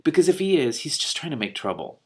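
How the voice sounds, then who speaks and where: A man's voice: fairly high pitch, sing-song, fast talker, breathy edge, pitched above the performer's own voice. A man reading a storybook as a sensible, forthright young princess who asks direct questions with a touch of exasperation. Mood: indignant. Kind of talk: storybook read-aloud.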